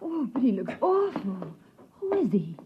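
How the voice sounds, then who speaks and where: An actress playing an anxious but practical wife and mother in a 1950s radio drama, urgent and brisk. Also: a little high, big pitch swings. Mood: alarmed. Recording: muffled old radio recording, faint noise.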